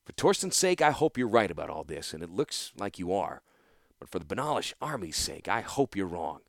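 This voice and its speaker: A man's voice: low voice, a little fast, creaky, dry recording, clean studio sound, heavily acted. Gerard, a man doing a stern veteran weapons master. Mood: commanding. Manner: calm, commanding, dryly threatening.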